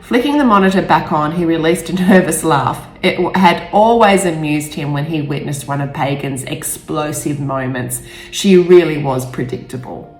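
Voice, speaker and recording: A woman's voice: deep voice, moderate pace, hardly any pauses, a little mellow. Woman reading her novel in a roomy echo. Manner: stumbles over words and rereads phrases. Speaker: author reading own book. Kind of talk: audiobook-style narration with brief personal asides. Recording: roomy echo, faint music behind.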